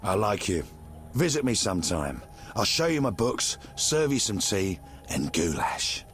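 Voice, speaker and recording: A man's voice: on the low side, sing-song, measured pace, fairly bright tone, a little hiss or hum. An actor playing a friendly, eccentric old village man in a video game, chatty and folksy. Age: older.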